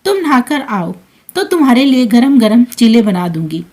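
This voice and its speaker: A woman voicing a selfless, soft-hearted elderly mother-in-law in a family story, with an accommodating, soothing manner. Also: a little high, very animated, moderate pace. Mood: gentle, accommodating.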